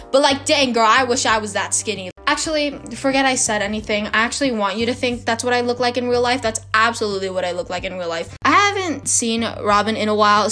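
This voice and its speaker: A teenage girl chats into her phone for her solo podcast, dramatic and quick to poke fun at herself. Her voice sits a touch high and sounds slightly worn from talking so much, with faint music underneath. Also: a bit bright. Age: teens. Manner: chatty, dramatic, self-mocking. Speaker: podcast host. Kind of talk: casual solo podcast chat.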